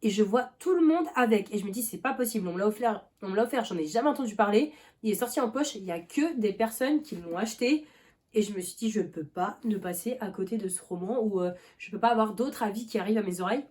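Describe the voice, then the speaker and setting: Young woman, book vlogger, mellow tone, rambling casual chat, fillers, occasional cough. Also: medium pitch, animated, fast. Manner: chatty, rambling, many fillers and restarts. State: coughing now and then.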